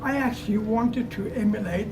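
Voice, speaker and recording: Older male doctor, earnest thank-you speech, far from the mic, loud music under it. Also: sits high, normal speed. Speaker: retiring physician giving thanks. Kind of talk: retirement party speech.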